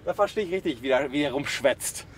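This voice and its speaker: A man's voice: fairly high pitch, animated, a little fast. A man hosting a livestream from behind the wheel, chatting casually and teasing his guests. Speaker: host driving guests in a livestream. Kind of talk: casual joking chat. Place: inside a van driving through a city. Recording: noisy moving vehicle, road noise.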